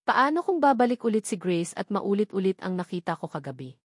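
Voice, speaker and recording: A woman narrates a romance audiobook in the first person. Her voice sits at an average pitch for a woman. The recording is dry, with little room sound. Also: sing-song, brisk, husky, dry recording.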